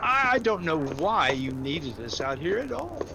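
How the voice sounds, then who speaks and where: Police chief, played by a man: slow, creaky voice, gruff authority, easygoing, old-time radio drama. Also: a little high, sing-song, noisy background, full character voice. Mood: relaxed, businesslike. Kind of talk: 1940s radio drama acting.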